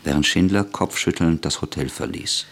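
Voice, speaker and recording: Very deep-voiced man narrating a radio drama. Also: moderate pace, over loud music. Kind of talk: scripted literary radio narration.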